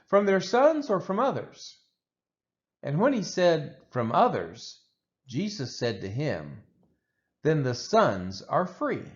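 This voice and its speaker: A man reading the Bible aloud from a text in a measured, deliberate, expressive way, as for a church read-along. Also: fairly high pitch, muffled recording. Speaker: scripture reader for a daily church read-along.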